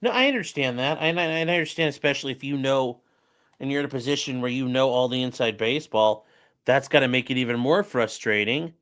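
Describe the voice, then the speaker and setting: A man's voice: medium pitch, fast talker, fairly bright tone. A man casually chatting with his livestream audience, joking and answering viewer comments in a sarcastic, offhand way. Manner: joking, sarcastic, talks straight to chat. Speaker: streamer and legal commentator. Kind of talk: casual livestream chat reading viewer comments while building a model.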